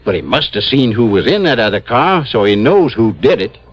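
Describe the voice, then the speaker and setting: Folksy, animated Southern police chief. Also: male voice, medium pitch, a little fast, slightly rough. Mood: insistent. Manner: rustic, insistent, grumbling. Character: folksy, grudge-bearing small-town male police chief. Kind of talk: old-time radio drama acting. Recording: dull, hissy vintage radio recording.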